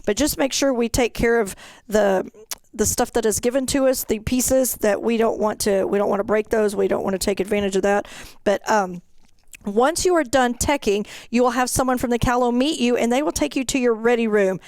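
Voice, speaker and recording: Female organizer: slightly high, smooth voice, conversational instructional briefing, dry recording. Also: fairly quick, a little mellow, dry recording. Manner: conversational, explanatory. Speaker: event organizer, co-presenter.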